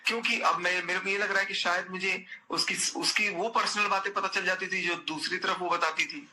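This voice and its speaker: A man's voice: high voice, steady tone, fairly quick, sharp tone. A man in a personal phone conversation, speaking insistently and repeating his phrases. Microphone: phone call. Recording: recorded phone call with faint noise.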